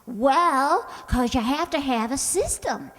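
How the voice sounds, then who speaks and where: A woman's voice: high voice, animated, slow pace, fairly bright tone, exaggerated voice. A woman playing a scatterbrained, naive and cheerfully confident young working woman in a radio comedy, with an earnest, guileless manner. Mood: earnest, naively confident. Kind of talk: radio sitcom acting. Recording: echoey hall, long reverb.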